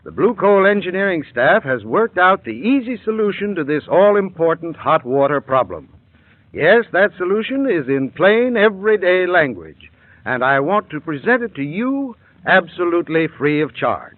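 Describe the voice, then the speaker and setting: Male sponsor spokesman, 1940s radio ad, higher voice. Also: big pitch swings, normal speed, dry recording. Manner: earnest, persuasive. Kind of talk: scripted radio advertisement. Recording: tinny dry old radio broadcast.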